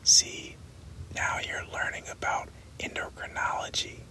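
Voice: measured pace, bright, forceful tone. A reader voicing a wry, learned, stout male doctor, whispered throughout. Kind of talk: whispered audiobook reading.

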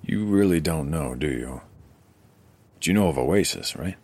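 A man's voice: rumbling low, lively intonation, normal speed, a little mellow, breathy edge, dry recording, exaggerated voice. An actor playing a guarded, weary male survivor and chronicler in an audio drama, speaking in a hesitant, conversational way. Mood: intrigued.

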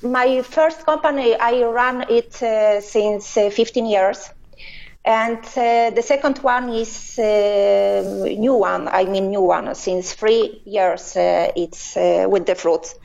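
A middle-aged woman answering interview questions over a phone line, speaking haltingly with many pauses and filler sounds. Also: medium pitch, vocal fry, a little mellow. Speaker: interview guest, business owner. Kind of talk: hesitant conversational answers.

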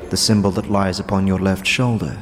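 A man voicing a solemn, cryptic tattoo artist in a fantasy audio drama, speaking gravely and with portent. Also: deep voice, little pitch movement, brisk, big-room sound, soft background music, lightly acted.

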